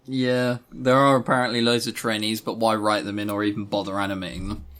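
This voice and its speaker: A man's voice: fairly low pitch, little pitch movement, fairly quick, a bit bright. A man co-hosting a podcast in casual chat, with a wry, joking, teasing way of talking. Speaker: podcast co-host. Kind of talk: casual chat reviewing visual novels.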